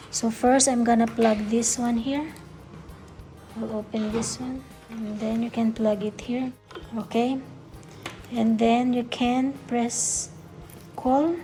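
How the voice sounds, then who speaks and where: A woman vlogger with a slightly high voice walks through a product in a casual way. She takes it very slowly, explaining each step in simple words and repeating herself. Music plays clearly behind her. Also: mellow. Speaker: childcare educator. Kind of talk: casual unboxing and demonstration. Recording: background music.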